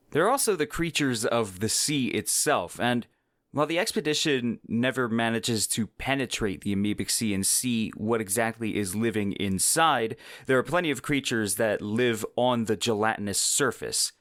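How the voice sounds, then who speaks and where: A man's voice: medium pitch, a bit bright, no echo. Man hosting a podcast, dry close sound. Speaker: podcast host. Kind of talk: podcast narration about science fiction.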